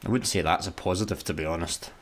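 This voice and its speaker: An actor with a Scottish accent playing a scruffy, childlike slacker spaceman in a sci-fi comedy radio play, excitable, flippant and given to grumbling. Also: low voice, fast talker.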